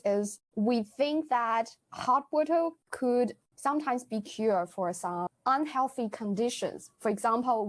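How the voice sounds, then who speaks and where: A young woman from China, a guest on a podcast, speaking English with a Chinese accent in an earnest, simple way. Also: a little high. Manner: earnest, simple non-native phrasing. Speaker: guest, university student. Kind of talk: casual podcast chat.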